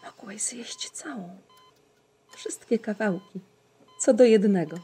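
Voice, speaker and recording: An actress playing a soft, loving mother in an audio drama, with a breathy voice. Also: medium pitch, takes it slowly. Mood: tender.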